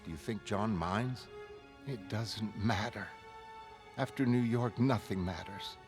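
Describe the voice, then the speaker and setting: A man voicing a shaken, blunt young woman in a motion comic, halting and emotional. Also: on the low side, music in the background. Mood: shaken, pleading.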